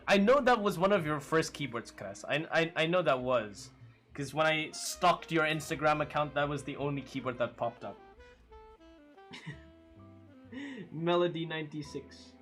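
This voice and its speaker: Young male streamer, slightly high voice, relaxed chatty delivery, soft guitar music underneath. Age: 20s. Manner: relaxed, chatty, laughs often, many fillers. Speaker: livestream host. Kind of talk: casual chat reading viewer comments.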